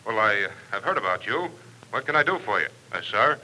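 An actor playing a brisk, blustery city police sergeant taking a phone call in a 1940s radio drama. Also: male voice, lowish voice, big pitch swings, bright, forceful tone, full character voice.

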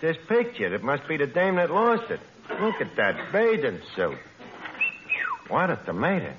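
A man's voice: fairly high pitch, huge pitch swings, normal speed. An actor playing a brash, vain, skirt-chasing working-class man with a New York accent and slangy, mangled speech in a 1940s radio comedy. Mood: eager, scheming. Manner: slangy, malaprop-prone, cocksure. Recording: muffled, hissy old radio broadcast.

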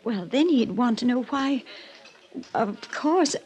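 Prim, fretful American farm wife. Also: female voice, on the high side, lively intonation, takes it slowly, darkish tone. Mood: guilty, flustered. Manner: flustered, hesitant, proper. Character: prim, fretful small-town farm wife, married gossip. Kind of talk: 1950s American radio drama acting. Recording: vintage radio drama recording.

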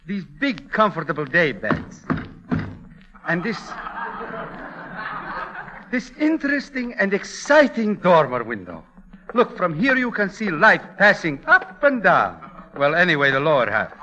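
An actor playing a pushy, grandiloquent landlord with florid sales patter in a 1940s radio comedy. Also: male voice, high voice, wildly swooping pitch, unhurried, long pauses, bright, forceful tone, lo-fi sound, full character voice. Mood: grandly persuasive. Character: pushy, grandiloquent male landlord talking up a shabby basement flat. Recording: vintage radio broadcast recording.

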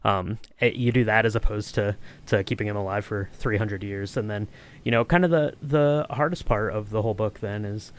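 A man hosting a casual podcast discussion, thinking aloud in a halting way. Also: on the low side. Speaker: podcast host. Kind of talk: casual discussion, informal chat.

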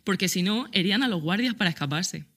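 A woman podcast guest with a bright, forward voice, laying out fashion history with eager enthusiasm. She talks casually and explains things step by step. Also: mid-range voice, lively intonation, fast. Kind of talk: casual chat.

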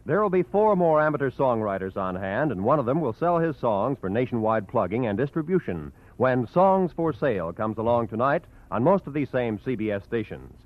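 Male radio announcer, animated pitch, muffled old broadcast sound. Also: fairly quick, hardly any pauses. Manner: lively promotional delivery.

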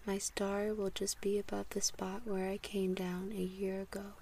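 A woman voicing a small, gentle boy prince who is grave and sad, in a soft whispered reading. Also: medium pitch, completely flat delivery, normal speed, slight background noise, lightly acted. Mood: grave, hushed.

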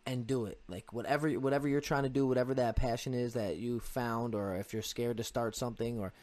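A man's voice: medium pitch, steady tone, a little fast, darkish tone, no echo. Earnest young man chatting casually. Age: 20s. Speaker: podcast guest, amateur MMA fighter. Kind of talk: casual chat.

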